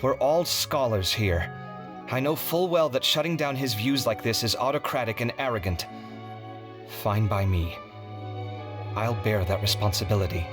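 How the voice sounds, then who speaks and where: An actor playing an idealistic, proud young scholar in a video game, speaking with earnest, dramatic emotion over music. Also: male voice, lowish voice, moderate pace, lightly acted. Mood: solemn, resolute. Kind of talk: English video game voice acting. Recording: background music under the voice.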